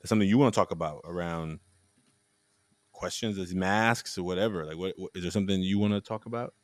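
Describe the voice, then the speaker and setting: A man hosting a casual conversation, telling stories in a rambling, animated way with frequent restarts. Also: low voice, a little fast, no echo. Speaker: podcast host.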